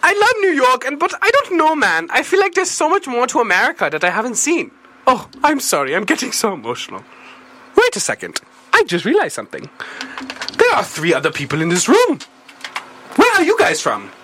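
Woman as an excitable foreign cousin, swooping high voice. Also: a little fast, a little hiss or hum, exaggerated voice. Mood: curious, emotional. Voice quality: put-on foreign accent that slips. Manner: effusive, curious, easily astonished. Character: naive, excitable foreign cousin visiting America. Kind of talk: improvised comedy character interviewing a panel.

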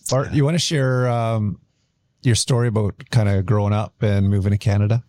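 A man's voice: on the low side, steady tone, a little fast, a little mellow, no room sound. A man hosting a podcast, asking questions in an easygoing interview and laughing often. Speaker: podcast host. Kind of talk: casual interview.

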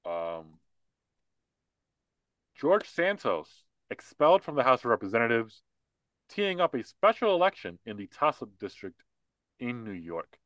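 A man's voice: mid-range voice, big pitch swings, a little slow, pauses often, fairly bright tone, no echo, dull audio. A man co-hosting a podcast, talking casually and opinionatedly about politics and mocking the people he discusses. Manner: opinionated, mocking, acts out quotes. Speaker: podcast co-host.

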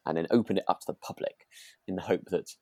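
A man with a slightly low voice, recorded dry, chats as a podcast co-host. He is agreeable and hesitant, feeling his way through his thoughts in a relaxed style. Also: fast talker, no echo. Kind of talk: relaxed chat discussing a radio drama.

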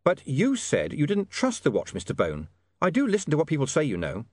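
Man playing a wry, precise, lively gentleman. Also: fairly high pitch, fairly quick, dry recording, pitched above the performer's own voice. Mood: sceptical, dry. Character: dry, pedantic, quick-witted gentleman newspaperman.

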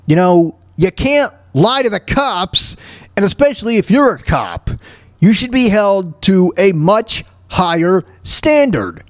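An American man with a fairly high voice that swings around a lot, recorded very dry. He hosts a casual talk show, rambling through commentary and often stammering and repeating himself as he goes. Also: big pitch swings, dry recording. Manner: halting, stammering, repeats words and phrases. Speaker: solo host of a talk show.

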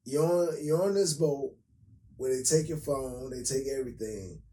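An American man with a raspy voice co-hosting a podcast in casual, slangy, profane, opinionated chat. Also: mid-range voice, moderate pace, warm tone, slight background noise. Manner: slangy, profane, repetitive emphasis. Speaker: podcast co-host. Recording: roomy echo, distant from mic.

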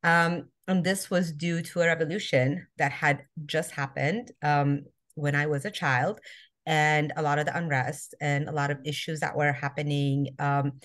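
A woman from Iran, a podcast guest, answering interview questions with many pauses and ums. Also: low voice, fairly even intonation, fairly bright tone. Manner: hesitant, many ums and restarts. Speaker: podcast guest, social justice activist.

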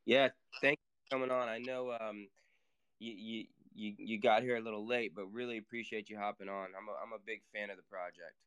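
A man co-hosts a casual online audio chat, eager and enthusiastic, asking long and rambling questions. His low voice comes across smooth and resonant. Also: fairly even intonation, fairly quick, a bit bright. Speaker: co-host.

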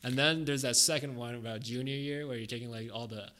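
Young man, mid-pitched, hosting casually. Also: fast talker, bright, forceful tone. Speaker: podcast host, interviewer. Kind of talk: casual chat.

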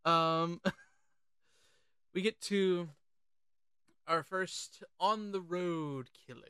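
Bright, clear podcast co-host. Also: medium pitch, drawn-out words, no echo. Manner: animated, informal, profane. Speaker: podcast co-host reviewing horror films. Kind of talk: casual film discussion.

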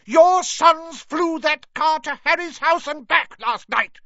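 A man voicing a fierce middle-aged mother who scolds her husband in a shrill, shouting voice. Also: huge pitch swings, extremely slow, no room sound, muffled recording, full character voice.

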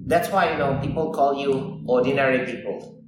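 A man who is a Buddhist monk answering interview questions in halting non-native English, often adding "you know.". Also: mid-range voice, over music. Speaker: Buddhist monk and meditation teacher. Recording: roomy echo, far from the mic, faint ambience.

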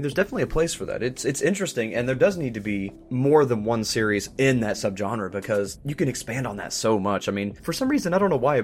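A man speaking earnestly and giving his opinions in a casual panel discussion as a host. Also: mid-range voice. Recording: faint music underneath. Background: soft background music.

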